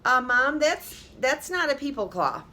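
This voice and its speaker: A woman's voice: high-pitched, big pitch swings, unhurried, sharp tone, voice pushed up, exaggerated voice. A woman voicing a skeptical little girl in a storybook, with hesitant, emphatic protests. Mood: doubtful. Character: Kristen, skeptical little girl who doubts her new sibling.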